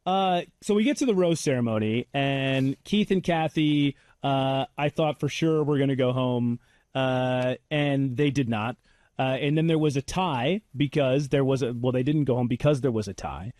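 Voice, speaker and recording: A man's voice: medium pitch, moderate pace, a little mellow, dry recording, clean studio sound. An American man hosting a casual TV recap chat, playfully teasing and provoking the other panelists. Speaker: host.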